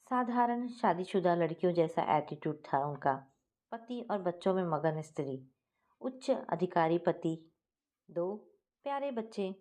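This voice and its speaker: A woman's voice: lowish voice, mellow. A woman reading a scripted first-person family story as its narrator.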